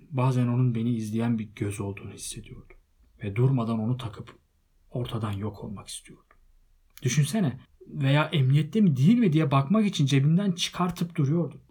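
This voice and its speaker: A man voicing an elderly male hobbit who is possessive and touchy, with shrill outbursts and covetous whispers. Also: darkish tone, slightly rough, natural voice.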